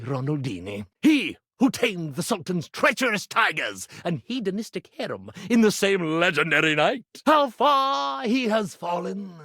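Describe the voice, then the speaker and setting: A pompous, swooping stage magician. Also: male voice, high voice, a bit bright, no room sound, studio quality, full character voice. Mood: grand, self-important. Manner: pompous, florid, melodramatic declaiming. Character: grandiose, theatrical stage magician who is an animated iron. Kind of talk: video game character voice acting.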